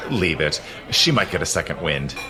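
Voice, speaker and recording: An actor playing a suave, teasing 19th-century gentleman in an audio drama, urbane and theatrical in manner. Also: extremely low pitch, very animated, normal speed, a crowd in the background. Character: suave, teasing immortal gentleman protector, secretly seventy-two. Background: faint music.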